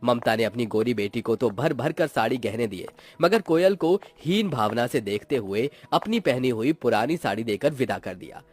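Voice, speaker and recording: Expressive male storyteller, swinging intonation. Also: mid-range voice, moderate pace, hardly any pauses, faint music behind. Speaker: story narrator. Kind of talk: scripted dramatic storytelling narration for a cartoon moral tale.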